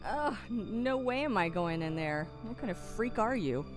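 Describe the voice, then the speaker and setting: A woman voicing a flirty but sharp, wary streetwalker in a video game. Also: mid-range voice, animated, unhurried, soft background music, lightly acted. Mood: disgusted. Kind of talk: video game character acting.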